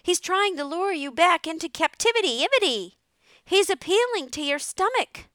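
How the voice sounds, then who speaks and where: A woman voicing a bossy, excitable farm goose in a children's storybook, honking urgent orders and repeating her phrases. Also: very high-pitched, normal speed, no room sound, clean studio sound, pitched above the performer's own voice, full character voice. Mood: warning, scolding. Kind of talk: animated children's storybook character voice.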